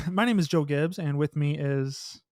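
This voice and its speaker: A young man with a slightly high, slightly hoarse voice chats loosely on a close, dry studio mic. He rambles, restarts his sentences and drops casual swear words. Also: measured pace, a little mellow, no room sound. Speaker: podcast host. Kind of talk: casual podcast chat. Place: small home studio with red lighting. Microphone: studio mic with headphones, on camera.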